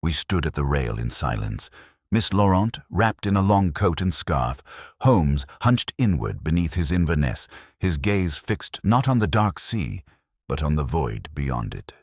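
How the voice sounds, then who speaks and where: A man narrating a scripted detective story as an audiobook, with measured, literary delivery. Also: extremely low pitch, sing-song, no echo, studio quality.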